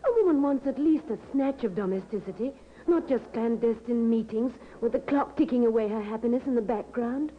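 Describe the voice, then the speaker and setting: Woman acting a lovesick radio-drama sweetheart, earnest, fairly high voice, thin phone-like old recording. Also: fairly quick, few pauses, slight background noise, natural voice. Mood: pleading, affectionate. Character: lovesick mistress, affectionate yet discontented woman.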